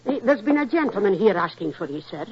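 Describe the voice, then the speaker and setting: An actress playing a homely, deferential housekeeper who chatters colloquially, in 1940s radio drama style. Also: female voice, medium pitch, sing-song, quick pace, crowd noise, natural voice. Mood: flustered, respectful. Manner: colloquial, respectful. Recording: muffled, rough old radio recording.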